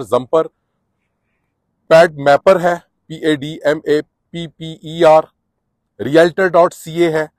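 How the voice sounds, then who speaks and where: Middle-aged guy vlogging outside on a street, earnest advice, lots of uhs, voice a bit high, dry close sound. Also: a little mellow, no room sound. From Pakistan or India. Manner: earnest, advising, with many filler "uh"s. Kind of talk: casual explanatory vlog to camera. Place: outdoors on a sunny residential street.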